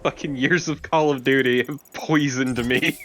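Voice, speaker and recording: Joking, sweary guy with mid-pitched voice. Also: steady tone, a little slow, fairly bright tone. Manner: profane, joking. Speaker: let's play co-commentator. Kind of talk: casual joking banter.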